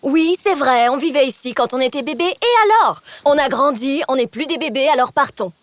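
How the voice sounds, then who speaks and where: Une femme en adolescente de dessin animé blasée, voix aiguë. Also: female voice, high voice, fairly quick, no echo, natural voice. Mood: bored, impatient. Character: sassy, easily bored, dramatic young girl. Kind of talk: children's cartoon dubbing.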